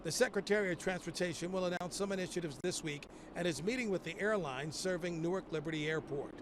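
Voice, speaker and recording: A man's voice: sits high, fast. An American man reporting for broadcast news as a field reporter outdoors at an airport. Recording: clear outdoor airport and traffic noise.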